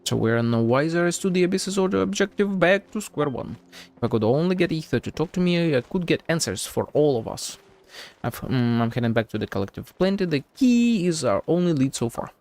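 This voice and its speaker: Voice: mid-range voice, very animated, a little fast, a little mellow. A game streamer giving informal, slangy playthrough commentary, thinking aloud. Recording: game music faintly behind.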